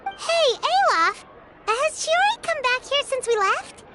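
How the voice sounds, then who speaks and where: Paimon, a squeaky little companion. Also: female voice, takes it slowly, loud music behind the voice, heavily acted. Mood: eager. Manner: excitable, talks of herself by name. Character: tiny floating female companion, perky, chatty.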